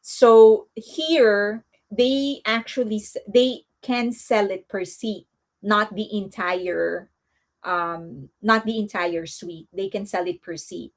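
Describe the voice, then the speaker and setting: A woman's voice: mid-range voice, slow pace. A woman with an accent, hosting a casual fan news livestream, chatty and rambling, often laughing. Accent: non-native English accent. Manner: speaks softly and coos to a baby at times. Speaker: host of a fan news channel.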